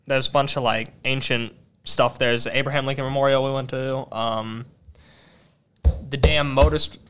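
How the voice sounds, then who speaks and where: Rambling young guy, smooth clear voice. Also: mid-range voice, steady tone, normal speed, a bit bright, faint music behind. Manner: rambling, many fillers and restarts, joking. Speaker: podcast co-host. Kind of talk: casual chat. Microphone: podcast mic held in hand.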